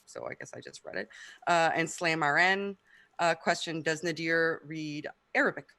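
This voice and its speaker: A man's voice: on the high side, sing-song, normal speed, bright, forceful tone, no room sound. A man moderating a debate, keeping things moving and reading out audience questions.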